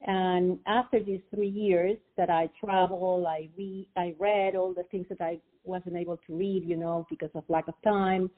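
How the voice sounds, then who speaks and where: A woman's voice: fairly low pitch, flat delivery, normal speed, hardly any pauses. Peruvian woman on a tinny phone line. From Peru. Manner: hesitant, many fillers and restarts. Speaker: guest, financial advisor. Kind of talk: interview over the phone.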